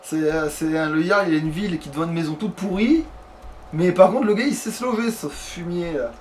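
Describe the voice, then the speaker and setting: High-pitched informal Frenchman, noisy recording. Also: fairly quick. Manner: informal, muttering to himself, mildly crude. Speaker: let's player. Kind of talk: casual gameplay commentary with a friend.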